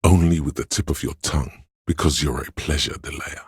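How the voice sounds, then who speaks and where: An extremely deep, rough-edged voice of craving for a video game. It is a hedonistic tempter that coaxes and goads, relishing every indulgent word. The sound is dry and close. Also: male voice, a little fast, dry recording, exaggerated voice. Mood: savouring, seductive. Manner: indulgent, coaxing, savours words, swears. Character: male inner voice of addiction, hedonistic, goading, seductive tempter. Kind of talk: scripted video game voice acting.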